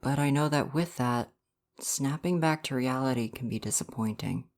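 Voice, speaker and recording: Someone acting a sweet video game boyfriend, asmr style, earnest comforting talk, medium pitch. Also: normal speed, natural voice. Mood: reassuring, tender. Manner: soft-hearted, reassuring, earnest. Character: gentle, affectionate dating-sim boyfriend come to life. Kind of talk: ASMR roleplay, personal affirmation.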